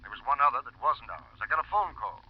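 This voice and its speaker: An actor playing a brisk, worried insurance company man, husky and heard through a telephone filter in a 1950s radio drama. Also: medium pitch, sing-song. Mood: urgent, businesslike. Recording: muffled phone-filtered old radio recording.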